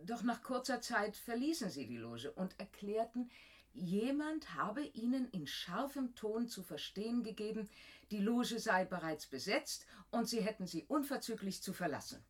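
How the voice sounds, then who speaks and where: Garrulous female usherette, lively swooping pitch, credulous and emphatic, radio drama. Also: moderate pace. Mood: eager, earnest. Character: chatty, credulous theater box usherette, devoted to phantom. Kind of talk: German radio play acting.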